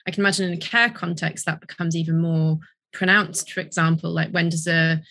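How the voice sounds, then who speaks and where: A woman's voice: lowish voice, steady tone, a little fast, fairly bright tone. A woman interviewing in a thoughtful conversation, phrasing her questions in a tentative, exploratory way.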